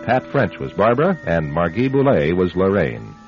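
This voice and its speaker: A man's voice: deep voice, big pitch swings, moderate pace. A man giving scripted announcements as host of a radio drama program. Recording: dull band-limited radio recording, music behind.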